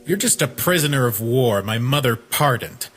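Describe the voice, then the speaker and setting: A man's voice: medium pitch, expressive, moderate pace, close to an everyday voice. A man voicing a proud, resolute young goat prince in a fan-dubbed comic, speaking with haughty, earnest command. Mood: haughty, defiant. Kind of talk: fan-dub comic voice acting. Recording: voice over background music.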